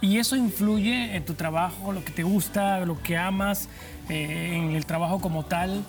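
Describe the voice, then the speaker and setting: High voiced guy interviewing, long rambly questions, bit of music behind. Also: normal speed. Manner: long, wordy questions with warm agreement cues. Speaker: interviewer host.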